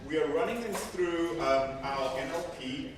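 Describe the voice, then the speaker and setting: A man's voice: on the high side, unhurried, very noisy. A man presenting at a technical conference with a handheld mic, speaking conversationally and often repeating words as he corrects himself. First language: Afrikaans. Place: large hall with seated audience. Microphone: handheld mic, filmed from far back. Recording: echoey hall from far off with audience.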